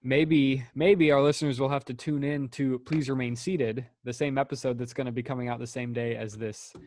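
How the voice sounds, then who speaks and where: A man's voice: medium pitch, little pitch movement, moderate pace, a little mellow, slight background noise. A young man co-hosting a podcast, chatting casually and joking. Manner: laid-back, jokey. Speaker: podcast co-host. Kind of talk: casual chat.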